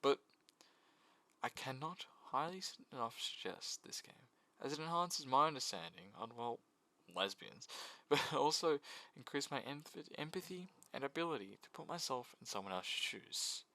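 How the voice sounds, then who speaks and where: A man's voice: mid-range voice, sing-song, moderate pace, pushed and bright, no room sound. A man giving a casual, jokey video essay about games, with mock sincerity.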